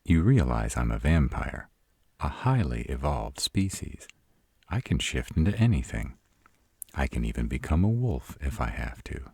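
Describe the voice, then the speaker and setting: A man's voice: a bass voice, big pitch swings, moderate pace, vocal fry, darkish tone, husky, no echo, full character voice. A man playing a sarcastic, proud city-bred vampire in a scripted audio roleplay, with dry, sardonic delivery. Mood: boastful. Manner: sardonic, dry, rhetorical questioning.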